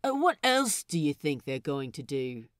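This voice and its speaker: A woman's voice: low-pitched, big pitch swings, unhurried, no echo, studio quality. A woman voicing a stubbornly opinionated, flustered male pirate crewman in an audiobook story. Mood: argumentative. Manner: insistent, hesitant interjections.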